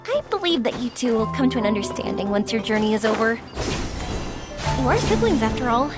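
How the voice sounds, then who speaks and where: A woman's voice: on the high side, sing-song, brisk, over loud music. A woman voicing a cheerful, earnest young fireworks maker in a video game, speaking expressively and sincerely. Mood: earnest, reflective. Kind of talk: video game voice acting, animated character dialogue.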